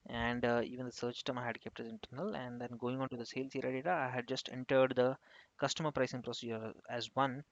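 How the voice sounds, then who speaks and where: A man giving an unscripted software tutorial, explaining step by step in halting phrases as an instructor. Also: mid-range voice, level tone, no room sound. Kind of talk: screen-share tutorial narration, unscripted. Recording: dull, lo-fi close microphone.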